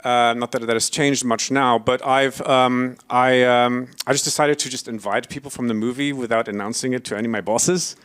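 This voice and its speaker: A man's voice: mid-range voice, fairly bright tone, smooth. A man in his forties from Belgium giving a conference keynote, hesitant with many fillers and restarts, cracking self-deprecating jokes. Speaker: keynote speaker. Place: conference stage before an audience.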